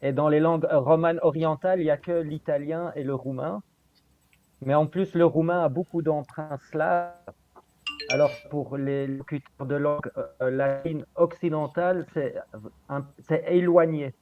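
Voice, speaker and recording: Guy, slightly high voice, hesitant, dull lo-fi call sound. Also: steady tone, normal speed. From Belgium. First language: French. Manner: hesitant, searches for English words. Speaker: guest. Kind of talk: casual chat on a video call.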